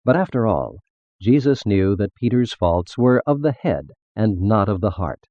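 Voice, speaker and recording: A man reading a religious text as scripted narration, in a measured, formal, expository manner. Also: lowish voice, sing-song, no echo, phone-quality sound.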